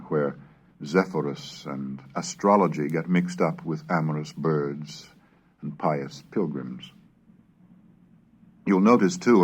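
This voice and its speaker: A man reading scripted lectures and old verse in a measured, scholarly manner, as a lecturer with a husky voice. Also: very deep voice, muffled recording. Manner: measured, scholarly, declamatory when reciting. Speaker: lecturer and reader. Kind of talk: scripted narration on English language history, with Middle English recitations.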